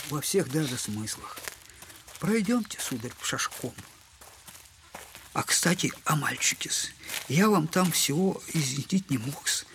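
A man's voice: fairly high pitch, a little hiss or hum. An actor in an audio drama playing a humiliated, buffoonish middle-aged poor father who talks in a servile, wordy, self-mocking way. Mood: ingratiating, bitter. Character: humiliated, buffoonish impoverished father, bitter and tender. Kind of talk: Russian literary audio drama acting.

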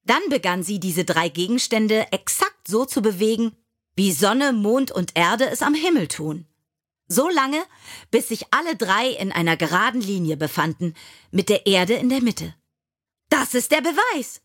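A woman reading scripted biographical stories for children as an audiobook narrator, measured and clearly articulated. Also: mid-range voice, lively intonation, a bit bright.

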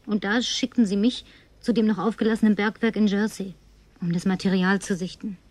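An actress playing a tipsy, flirtatious, lonely woman survivor in a radio drama, coquettish and slipping into breathy whispers. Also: medium pitch, steady tone, brisk, darkish tone, no echo, lightly acted. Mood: tipsy reminiscing. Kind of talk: German radio drama acting.

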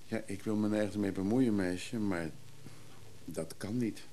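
Radio drama role: teasing, chatty middle-aged brother, played by a male actor, mellow and wry, on a slightly noisy recording. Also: fairly low pitch, a little slow, lightly acted. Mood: quiet, musing. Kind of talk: naturalistic Dutch radio play acting.

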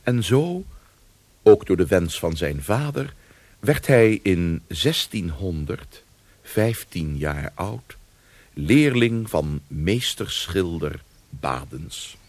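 An actor playing a measured, grave storyteller, narrating a Dutch historical radio drama from a script. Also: male voice, sits low, lively intonation, a little mellow, no echo, exaggerated voice.

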